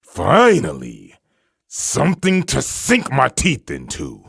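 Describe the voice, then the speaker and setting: A man playing a cocky, bloodthirsty super-soldier villain in a comic dub, with a deep growling rasp and scornful manner. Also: huge pitch swings, slow, no echo, studio quality, exaggerated voice. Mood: cocky, eager. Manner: arrogant, scornful.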